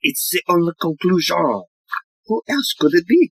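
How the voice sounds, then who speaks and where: Woman playing an eccentric male inspector, husky and swooping. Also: on the low side, sing-song, normal speed, no room sound, clean studio sound, full character voice. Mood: earnest, solemn. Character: eccentric, bumbling male police inspector, dramatic and self-assured. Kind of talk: audio play comedy acting.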